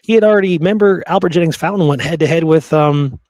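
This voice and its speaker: A man's voice: a little high, quick pace, darkish tone, dry recording. Man casually talking history, close dry sound. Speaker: history podcast host.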